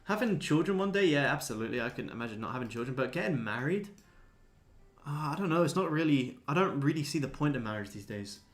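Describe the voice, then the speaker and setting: A man's voice: a little high, expressive, fast. A man in his twenties chatting casually as a livestream host answering viewers, laid-back, blokey and sweary. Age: 20s. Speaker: livestream host, day trader.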